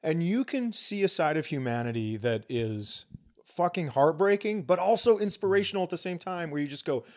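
Male podcast comic, middle-aged, slightly high voice, deadpan and foul-mouthed storyteller in casual banter. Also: moderate pace. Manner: profane, deadpan exaggeration. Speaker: comedian and podcast co-host.

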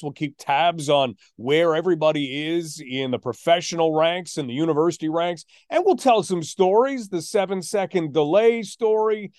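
A man with a slightly high-pitched voice hosts a sports podcast. He talks like a practised announcer spinning a story, building it deliberately with emphasis. The sound is dry, with very little room in it. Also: a little mellow, no echo.

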